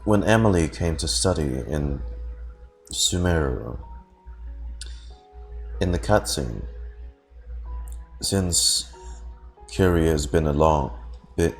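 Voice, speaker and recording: Male game streamer: low-pitched, casual, reflective commentary over soft music. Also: lively intonation, slow pace, creaky, pauses often. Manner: musing, offhand.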